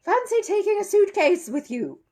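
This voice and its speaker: A theatrical male stage magician acted out by a woman reading a storybook, sarcastic and short-tempered. The voice is pushed high and swings widely. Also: very animated, normal speed, warm tone, heavily acted. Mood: exasperated, sarcastic.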